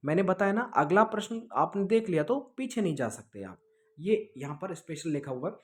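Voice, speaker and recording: A man's voice: sits high, sing-song, brisk, a little mellow. A man teaching exam students informally online, explaining things with repetition and check-in questions, mixing in English words. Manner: explanatory, repeats himself, with check-in tags; mixes English words into speech.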